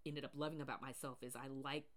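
A woman from the US Pacific Northwest with a deep voice, talking casually and rambling with fillers on her solo podcast. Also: flat delivery, fast talker. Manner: rambling, many fillers and restarts. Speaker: podcast host.

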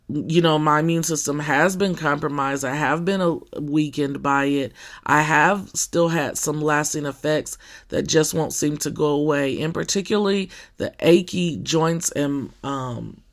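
A podcaster with a scratchy, somewhat deep voice, talking in a casual personal monologue full of fillers and restarts. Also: fairly bright tone. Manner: halting, with many fillers and restarts.

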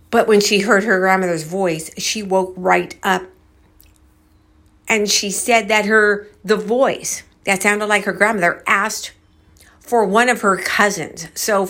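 Slow, unhurried woman telling stories casually. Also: mid-range voice, a bit bright. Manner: unhurried, musing, conversational. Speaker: host of a paranormal storytelling channel. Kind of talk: casual storytelling to viewers.

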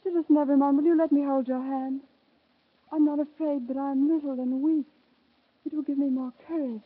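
An actress playing a frail, timid, gentle young seamstress in a mid-century radio drama, with a breathy voice. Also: female voice, sits high, steady tone, a little fast, a little hiss or hum, lightly acted. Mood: fearful, tender. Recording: tinny old radio broadcast recording.

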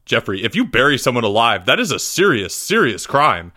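A man's voice: fairly low pitch, expressive, unhurried, bright, forceful tone, no echo, studio quality. A man voicing a stern, suspicious schoolteacher in a story, clipped and authoritative. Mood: firm, concerned. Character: stern, suspicious male schoolteacher, easily exasperated.

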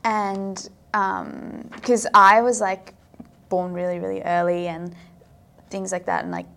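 A woman's voice: medium pitch, expressive, takes it slowly, gravelly, a bit bright. A young woman from South Africa, chatting casually as a podcast guest and filling her talk with "like". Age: young adult. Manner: chatty, filler-heavy, frequent "like". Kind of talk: casual interview chat.

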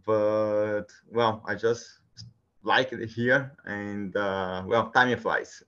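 A man from Brazil speaks English with a Brazilian Portuguese accent. His voice sits fairly low, and he keeps stopping to fill gaps with "like" and "uh". The recording sounds dull and lo-fi. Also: steady tone, fairly bright tone. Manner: halting, many fillers like "like" and "uh". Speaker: guest. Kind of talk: casual interview answers.

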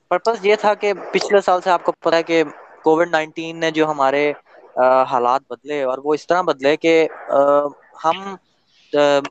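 A man's voice: a little high, smooth, lo-fi sound. A man from Lahore with a Pakistani accent, chatting casually and hesitantly as he searches for words. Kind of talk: casual chat with a new acquaintance.

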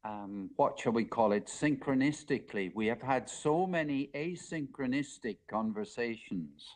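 A man's voice: medium pitch, expressive, moderate pace, darkish tone. A middle-aged man talking casually as a podcast guest, reflective and hesitant, with a self-deprecating way of putting things, speaking into a mobile phone. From Ireland. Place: remote conversation over a podcast app on a mobile.

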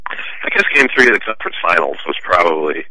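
A man's voice: low-pitched, very animated, quick pace, pushed and bright, no echo, low-quality recording. A man, a sportswriter guest on a radio show, talking casually and thinking aloud with fillers. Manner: thoughtful, hesitant with fillers.

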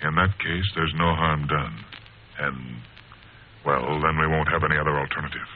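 Heroic captain: deep, grave, decisive, on a tinny old broadcast. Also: male voice, fairly even intonation, moderate pace, slight background noise. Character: steady heroic captain commanding his young squadron. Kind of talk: 1940s radio serial acting.

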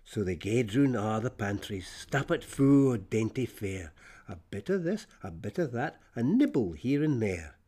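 A man with a Scottish accent reading rhyming fables in Scots as an expressive storyteller. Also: mid-range voice, unhurried, breathy edge. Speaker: storyteller, verse reader.

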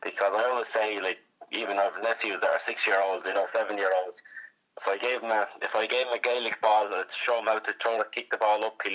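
An Irish man answers interview questions casually. His voice sits on the low side, with a very bright, tense edge. He circles back over phrases and peppers his talk with little check-ins. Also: low-quality recording. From born in Iraq, moved to Ireland as a child. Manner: repetitive, conversational, peppered with "you know". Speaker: interview guest, hurler.

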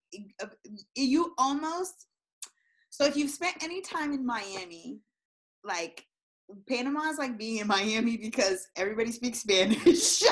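A woman's voice: a little high, fairly bright tone. Chatty laughing woman teacher. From Florida. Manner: chatty, laughs often, many "like" fillers. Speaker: teacher, guest. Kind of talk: casual interview chat.

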